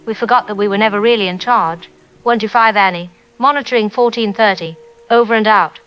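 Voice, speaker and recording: A woman's voice: medium pitch, brisk, clear, resonant tone, close to an everyday voice. A woman playing a resigned, solemn radio operator speaking reflectively in an apocalyptic radio drama. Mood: resigned, steadfast. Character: somber, resigned female radio operator survivor. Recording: dull, noisy radio with rain.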